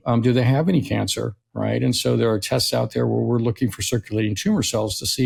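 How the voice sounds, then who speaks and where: Gravelly, husky man explaining medicine. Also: medium pitch. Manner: steady explainer who often tags "right?". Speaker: longevity physician guest. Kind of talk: conversational explaining.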